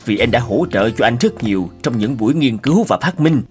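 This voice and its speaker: A man's voice: medium pitch, animated, a little fast, faint music behind, close to an everyday voice. An actor playing an earnest, anxious middle-aged male inventor in a cartoon, speaking expressively. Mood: earnest, grateful. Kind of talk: animated moral-tale cartoon dubbing.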